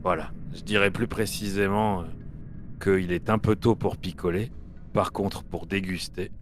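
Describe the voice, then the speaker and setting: A man voicing a polite, refined man who talks in a measured, controlled way with careful diction. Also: low voice, steady tone, a little hiss or hum. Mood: courteous. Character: polite refined male voice professional.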